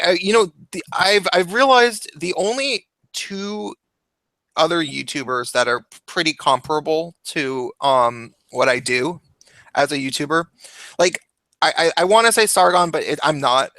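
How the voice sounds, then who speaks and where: A man's voice: medium pitch, gravelly, pushed and bright, no echo. A man hosting a group video call as a YouTuber, talking in a hesitant way with many restarts. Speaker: YouTuber and host.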